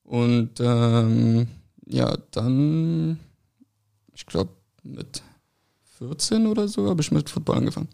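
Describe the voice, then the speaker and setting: A man's voice: mid-range voice, slow pace, creaky, pauses often, dark tone, smooth. A young man born in France and raised in Berlin, a football player giving short, hesitant answers with many fillers. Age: young adult. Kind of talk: casual interview answers.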